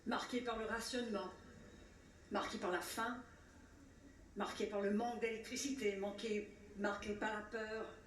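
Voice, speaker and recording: A woman delivering a solemn scripted commemorative speech in a measured, declamatory way, with rhetorical repetition and pauses. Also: mid-range voice, fairly even intonation. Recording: roomy, distant mic with faint noise.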